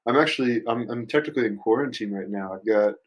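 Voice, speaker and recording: Low-voiced, thoughtful young man. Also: fast. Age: early 20s. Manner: rambling, youthful slang, thoughtful. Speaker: musician guest. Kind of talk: casual reflective interview chat.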